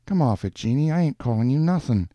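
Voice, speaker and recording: A lonely working-class New man, as a narrator plays him in a short story. He talks in an earnest, coaxing, slangy way, pushing his pitch up and around. The recording is dry. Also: lively intonation, fast talker, mellow, dry recording, close to an everyday voice. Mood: defensive.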